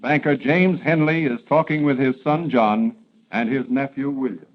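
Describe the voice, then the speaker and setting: Male serial announcer, forties radio narration, mid-pitched, dull recording with clear background noise. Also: normal speed. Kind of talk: scripted 1940s radio narration.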